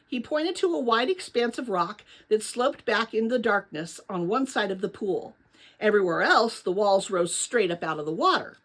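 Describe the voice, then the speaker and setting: A woman reading a children's novel aloud as narrator, with dramatic, expressive storytelling. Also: medium pitch, moderate pace.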